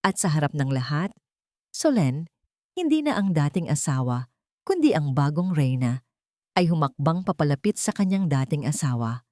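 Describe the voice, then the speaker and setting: A woman with a Filipino accent narrating a dramatic story in a measured, solemn way. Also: sits low, very animated, dark tone, dry recording, clean studio sound. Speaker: narrator of a Tagalog audio drama.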